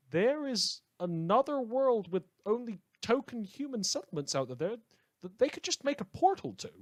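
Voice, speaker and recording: A man's voice: high-pitched, no echo. A man playing an opinionated, darkly comic radio host in an apocalyptic world, delivering a scripted monologue in a theatrical, wry manner. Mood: impassioned. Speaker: radio host character in a fan audio drama.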